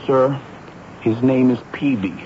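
A man's voice: mid-range voice, slow, natural voice. Man playing young cornered prisoner informer, dramatic old radio acting, muffled, little hiss. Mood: cool, accusing. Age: young adult. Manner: dramatic, respectful to officers. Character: young male prisoner, cornered informer, pleading or coolly accusing. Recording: dull, faintly noisy old radio recording.

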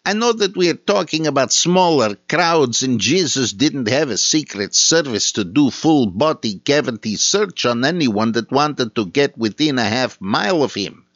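A man's voice: medium pitch, expressive, moderate pace, hardly any pauses, fairly bright tone, dry recording, lo-fi sound. A man putting on a heavy Russian accent to read a listener's letter as a comrade, dropping little words. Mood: mock-earnest. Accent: Russian (put on). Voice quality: heavy mock Russian accent, clipped grammar. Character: gruff male Russian comrade letter-writer.

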